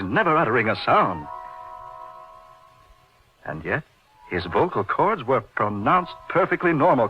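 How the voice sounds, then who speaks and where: An actor playing an intense middle-aged surgeon in an old-time radio horror drama, recounting his uncanny friend with deliberate, emphatic repetition. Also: medium pitch. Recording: dull lo-fi radio recording, music behind.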